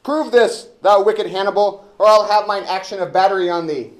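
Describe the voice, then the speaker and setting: A man's voice: high voice, normal speed, reverberant, voice pushed up, close to an everyday voice. A man playing an indignant, self-important, foolish constable in a classroom reading of an old stage comedy, pompous and sputtering. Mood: outraged, blustering.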